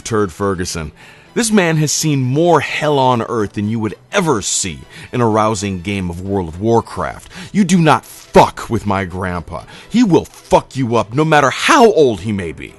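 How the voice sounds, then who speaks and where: Dramatic, animated male storyteller over music. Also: medium pitch, unhurried. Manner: dramatic, profane, emphatic. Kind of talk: scripted comic personal storytelling. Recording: music playing under the voice. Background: background music.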